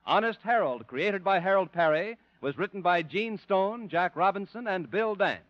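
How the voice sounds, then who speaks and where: A man announcing a 1950s radio network show in a smooth, formal manner, from a dry, muffled old broadcast with music. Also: sits high, animated, moderate pace, no echo.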